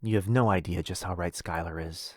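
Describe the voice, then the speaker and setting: A man's voice: low-pitched, a little fast, dry recording, clean studio sound. A man playing a lonely, possessive, rich vampire brother in a boyfriend ASMR roleplay, insistent and coaxing.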